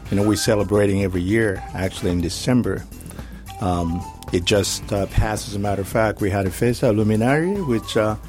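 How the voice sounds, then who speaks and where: A middle-aged man from the Congo, speaking reflectively and hesitantly as an interview guest. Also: fairly low pitch. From Democratic Republic of the Congo. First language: French and Swahili. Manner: hesitant, searching for words.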